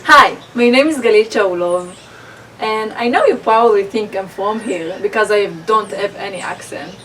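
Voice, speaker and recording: A woman from Israel rehearsing a personal speech, halting, with restarts and non-native grammar slips. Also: mid-range voice, a bit bright, a little hiss or hum. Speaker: public speaking student. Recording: roomy, distant mic, background ambience.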